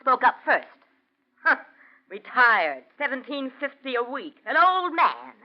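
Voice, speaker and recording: A woman's voice: high-pitched, big pitch swings, a little slow. A woman acting a domineering middle-aged wife, scornful and nagging, in 1940s radio drama style. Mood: scornful, berating. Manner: nagging, sneering. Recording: muffled vintage 1940s radio recording.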